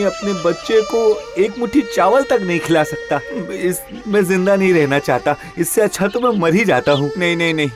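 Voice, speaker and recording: A man playing a poor, meek, anxious village potter in an animated fairy tale, with a deferential, pleading way of talking. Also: fairly high pitch, natural voice. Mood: despairing.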